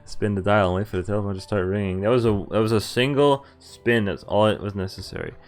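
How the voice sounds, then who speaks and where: A man's voice: fairly low pitch, quick pace. A man streaming a visual novel, reading its dialogue aloud and reacting casually in a jokey way with some swearing. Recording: music under the voice. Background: game music.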